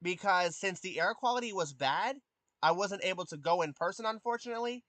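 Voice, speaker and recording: A man of twenty chatting casually with his live stream viewers. He has a youthful voice that has not deepened, and he hesitates often with ums and restarts. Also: animated, a little fast, fairly bright tone, no room sound. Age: 20s. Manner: hesitant, many ums and restarts, answers chat questions.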